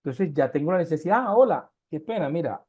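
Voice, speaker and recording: Man, high voice, online research methods lecturer, explains with everyday examples and rhetorical questions. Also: expressive, fast, darkish tone, husky. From Colombia.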